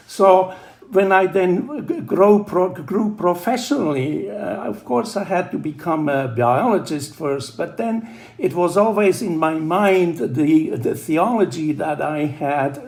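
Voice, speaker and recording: A man's voice: fairly high pitch, darkish tone. Older Swiss man on a phone line. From Switzerland. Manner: halting, many restarts and fillers. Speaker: guest, biology professor. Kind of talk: phone interview. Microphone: telephone line.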